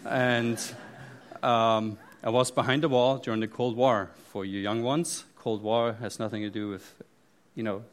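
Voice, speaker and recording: German-accented man giving a halting sermon. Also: mid-range voice. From East Germany. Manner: halting, many fillers and restarts, joking asides. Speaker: church speaker.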